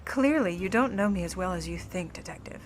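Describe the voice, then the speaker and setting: A woman's voice: on the low side, animated, a little fast, a little hiss or hum, close to an everyday voice. A woman as a cool, haughty scheming starlet. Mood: smugly composed. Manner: haughty, controlled, cutting formal delivery. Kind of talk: old-time noir radio play acting.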